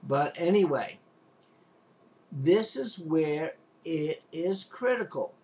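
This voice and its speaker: A man's voice: on the high side, animated, takes it slowly, darkish tone, a little hiss or hum. Rambling Long Island repairman, dull lo-fi sound. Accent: Long Island New York. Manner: rambling, loose, with many restarts and fillers. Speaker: pool and spa technician. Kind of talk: unscripted how-to demonstration.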